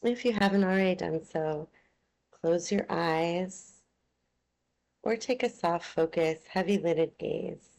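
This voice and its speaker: A woman teaching yoga nidra, leading a guided relaxation in a soothing, unhurried, gently instructing manner. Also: on the low side, vocal fry.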